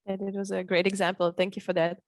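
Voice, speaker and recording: A woman's voice: medium pitch, little pitch movement, fast, no echo, clean studio sound. A woman on a video call, a program staff member moderating a panel with hesitant, filler-heavy speech. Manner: hesitant, many fillers, slightly non-native phrasing.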